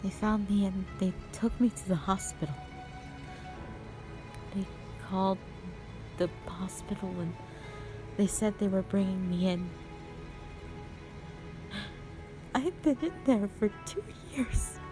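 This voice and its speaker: A woman playing a traumatised, anguished young woman in an audio drama monologue, speaking haltingly and often hushed. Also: medium pitch, close to an everyday voice. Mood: grieving, numb. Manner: halting, hushed, breaking into whispers. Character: traumatised young woman confessing a harrowing past, anguished, broken. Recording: music behind.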